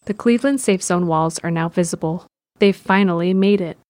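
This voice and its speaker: A woman's voice: on the low side, normal speed, darkish tone. A woman narrating a scripted audio drama in the present tense, describing the action.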